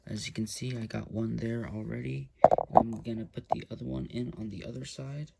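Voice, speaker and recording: A man casually talking viewers through a hands-on gear install, relaxed and hesitant with frequent fillers. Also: medium pitch, moderate pace, creaky, very noisy. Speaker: YouTube motorcycle gear reviewer. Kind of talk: casual step-by-step install walkthrough.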